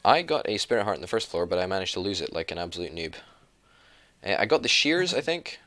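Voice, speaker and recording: A man's voice: low voice, very animated, fast, sharp tone. A young Scottish man playing along in a gaming video, keen and self-deprecating in casual chat. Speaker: co-op gaming partner.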